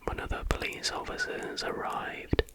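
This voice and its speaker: A man whispers softly and without hurry in an informal ASMR narration, as the host of the video. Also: normal speed.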